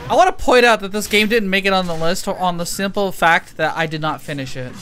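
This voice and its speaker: A streamer giving casual live commentary on a game, talking in an excitable, slangy way with plenty of swearing. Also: mid-range voice, brisk. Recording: loud game music behind. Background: video game music.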